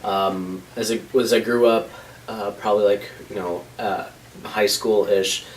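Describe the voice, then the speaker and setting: Hesitant soft-spoken man in his thirties. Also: lowish voice, steady tone, a little slow. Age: 30s. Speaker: interviewee. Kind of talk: documentary interview. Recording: voice from a distance with faint noise.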